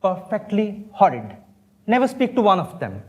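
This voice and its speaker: A young man playing an earnest, romantic, easily flustered Victorian gentleman in a theatrical stage comedy. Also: sits high, big pitch swings, moderate pace. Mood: breezy, bored. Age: young adult. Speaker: student actor in a stage play. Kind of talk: stage comedy of manners acting.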